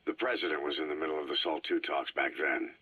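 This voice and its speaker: A world-weary legendary soldier from a video game. His voice is a raspy, forceful growl, sunk extremely low. He speaks in terse, brooding phrases through a cassette tape filter. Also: fast, heavily acted. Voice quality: gravelly, growling. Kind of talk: scripted dramatic game dialogue.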